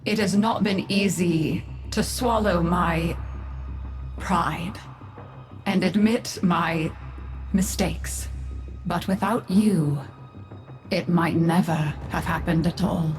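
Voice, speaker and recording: A woman playing a proud, formal matriarch in a video game drama, speaking in a measured, stately way. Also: on the low side, steady tone, a little mellow, faint music behind. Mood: contrite, formal. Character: proud, formal, grieving matriarch of an estranged family.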